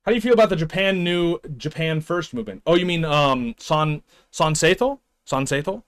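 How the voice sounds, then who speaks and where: A young man with a slightly higher voice streams and chats loosely with his viewers. He rambles, restarts sentences and drops in small chuckles. His talk is casual and unscripted. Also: expressive, normal speed, a bit bright, smooth. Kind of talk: casual chat with live viewers.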